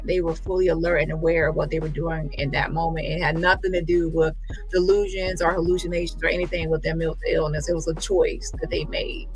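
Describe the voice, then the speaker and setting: A woman's voice: fairly low pitch, bright, forceful tone, low-quality recording. A woman hosting a casual livestream commentary, talking emphatically in a rambling way and often correcting herself. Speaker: livestream host.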